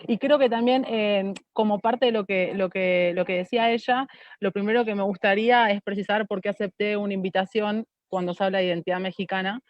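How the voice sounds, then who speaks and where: A woman's voice: mid-range voice, fairly even intonation, fairly quick, dry recording. Casting: Argentine-accented woman, reflective academic panelist who argues through rhetorical questions; close, dry, slightly dull recording. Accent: Argentine Spanish.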